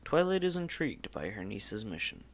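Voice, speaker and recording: Casting: male storybook narrator, lower-leaning register, highly expressive intonation, scripted read. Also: normal speed.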